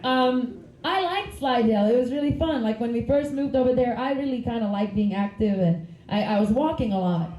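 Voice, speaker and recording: A woman's voice: a little high, normal speed, warm tone, echoey room, rough recording. A woman, doing a rambling, giggly stand-up comedy set on a club stage. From Finland. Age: 30s. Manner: chatty, giggly, rambling asides.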